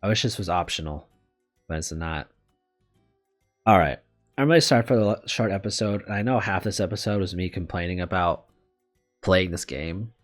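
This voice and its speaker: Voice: deep voice, normal speed, pauses often, soft background music. A video game streamer reading a visual novel aloud and commenting casually, in a candid, self-deprecating, apologetic way with some swearing.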